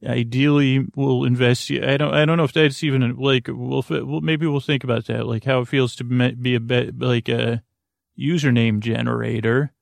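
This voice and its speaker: A man hosting a bedtime podcast in a rambling, halting monologue, often interrupting himself and wandering off, with a creaky voice. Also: medium pitch, fairly even intonation, dry recording. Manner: meandering, halting, self-interrupting, digressive. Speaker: podcast host.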